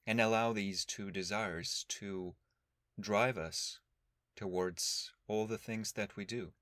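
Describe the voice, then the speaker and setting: A man leading a guided meditation as a teacher, speaking in a calm, measured, instructional way with long pauses. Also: lowish voice, dry recording, clean studio sound.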